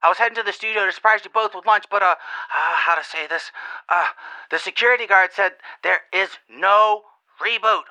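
Man as panicked dad, high, sharp and clear voice, stammering, urgent, audio drama voicemail. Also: a little fast, exaggerated voice, rough recording. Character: frantic, worried father pleading on voicemail.

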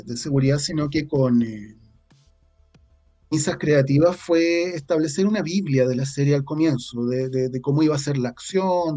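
A Chilean man speaking casually as an interview guest, halting and repeating words as he searches for his phrasing. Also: medium pitch, mellow. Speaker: interview guest, audio drama writer.

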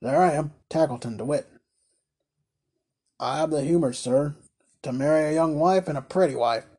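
A man's voice: a little high, normal speed, dark tone, slightly rough. A man voicing a smug, domineering older male merchant in a storybook reading, curt and condescending. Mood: smug, boastful.

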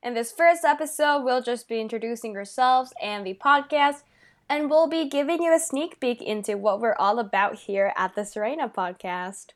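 A woman's voice: a little high, moderate pace. A young woman from Japan co-hosting a casual podcast, chatting easily and filling her sentences with "like" and "um". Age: young adult. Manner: chatty, lots of "like" and "um" fillers. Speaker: podcast co-host.

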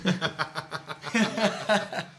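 A man's voice: a little high, extremely slow, bright, forceful tone. A man in his thirties hosting a podcast, enthusiastically prompting his guest with questions in casual chat. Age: 30s. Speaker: podcast host.

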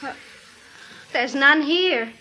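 An actress playing an anxious, devoted, persistent sweetheart with an earnest manner, in 1940s radio drama style. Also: female voice, high voice, expressive, extremely slow, background noise, heavily acted. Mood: worried. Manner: pleading, earnest. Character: anxious, devoted, persistent woman pleading with her lover.

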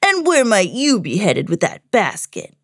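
A woman voicing a sly, greedy male storybook villain who taunts in a drawn-out, gloating way. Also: mid-range voice, huge pitch swings, no echo, studio quality, full character voice.

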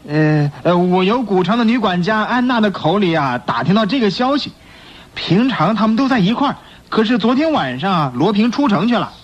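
A man's voice: sits high, very animated, fairly quick. An actor playing a nervous, fawning gangster henchman in a radio play, hesitant and eager to please his boss. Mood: deferential. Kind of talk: theatrical radio drama acting. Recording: muffled lo-fi radio drama recording.

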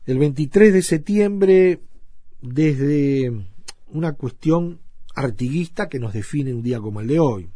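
A man with a Uruguayan accent and a husky voice, presenting reflectively as a radio host. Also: medium pitch, dark tone, no echo, clean studio sound.

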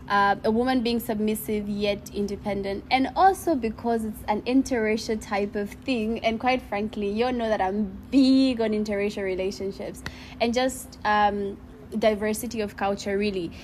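A woman's voice: medium pitch, a little hiss or hum. A young adult woman hosting a podcast in a bubbly, chatty way with frequent laughter. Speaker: podcast host. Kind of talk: casual interview chat.

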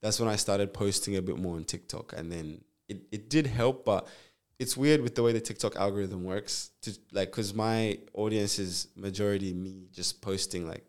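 Brief: very low-voiced young male guest from Zambia, easygoing and rambling. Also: normal speed, hardly any pauses. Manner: relaxed, rambling, many fillers and restarts. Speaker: guest, nurse and musician. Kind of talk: casual interview chat.

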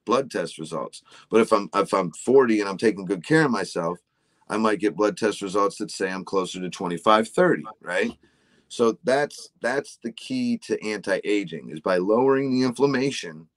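A man's voice: on the low side, animated, moderate pace, no room sound. A man in his forties explaining health ideas in a casual chat, often checking in with "right?". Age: 40s. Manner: explanatory, frequent "right?" tags. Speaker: supplement company guest.

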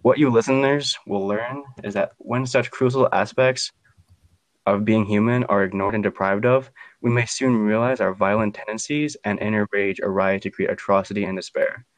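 A man's voice: lowish voice, fairly even intonation, normal speed, dry recording, rough recording. A man hosting a podcast, reading a scripted introduction in a formal, grandiose manner. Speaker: podcast host.

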